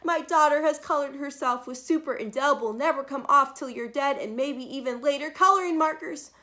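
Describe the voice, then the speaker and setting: A woman's voice: sits high, a little fast, pushed and bright, voice pushed up. A woman voicing an easily alarmed mother from a children's storybook, exclaiming and fretting with heavy emphasis. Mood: panicked, wailing.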